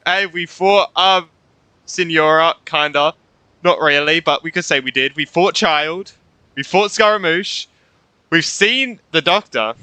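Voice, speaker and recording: A young man doing casual gameplay commentary, excitable and slangy as he reacts aloud. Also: on the high side, measured pace, smooth, dry recording. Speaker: gaming streamer. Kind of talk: casual let's play commentary.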